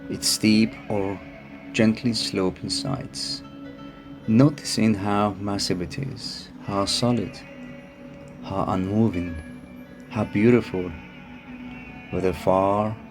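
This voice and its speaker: A man narrating a guided meditation in a calm, soothing manner with unhurried phrasing. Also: fairly low pitch. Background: music behind the voice.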